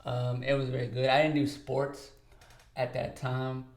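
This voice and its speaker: A man's voice: mid-range voice, completely flat delivery, measured pace, echoey room. A man in his twenties from Texas, co-host of a podcast, talking casually in an interview, easygoing and talkative with lots of fillers. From Texas, United States. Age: 20s.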